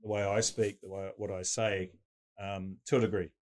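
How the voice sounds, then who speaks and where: Man, deep voice, podcast guest, explains with analogies. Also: little pitch movement, normal speed. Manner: explains at length with everyday analogies. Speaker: guest, tech business owner. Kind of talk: casual interview chat.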